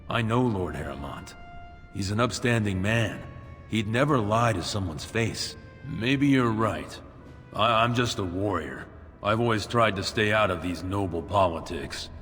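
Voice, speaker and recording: An actor playing a plain-spoken, wary dwarf warrior in a video game, blunt and measured. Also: male voice, lowish voice, expressive, a bit bright. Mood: doubtful, sober. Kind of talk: video game character acting. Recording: long reverb, music behind.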